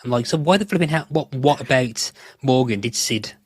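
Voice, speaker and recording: A man's voice: medium pitch, a little fast, slightly rough, dry recording, studio quality. A man hosting a casual podcast discussion, working through his thoughts in a rambling, self-correcting way. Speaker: podcast host.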